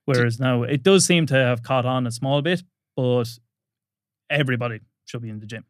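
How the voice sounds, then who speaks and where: A man's voice: medium pitch, moderate pace, clear, resonant tone, no room sound, clean studio sound. A young man from rural Ireland with a rural Irish accent, an easygoing guest telling stories in a casual podcast chat. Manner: easygoing storyteller, casual swearing.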